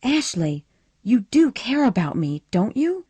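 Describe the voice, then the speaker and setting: A woman's voice: a little high, very animated, measured pace, mellow, husky, no room sound, pitched above the performer's own voice, full character voice. A woman reading the part of a passionate, impulsive young woman in a storybook, speaking in a breathless, pleading way with rising emotion. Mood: desperate.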